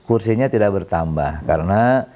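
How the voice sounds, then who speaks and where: A middle-aged man from Bandung, explaining council work in an interview, pausing often with fillers and self-corrections. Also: fairly low pitch, expressive, muffled recording. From Bandung, Indonesia. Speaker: city council member, interview guest. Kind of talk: relaxed explanatory interview talk.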